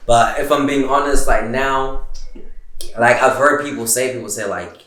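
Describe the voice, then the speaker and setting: A man's voice: medium pitch, moderate pace, bright, forceful tone, roomy sound, poor audio. A young man from Zimbabwe, a rapper, chatting in a casual interview in a laid-back way with lots of "like" fillers. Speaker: rapper guest. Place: living-room studio set with couches.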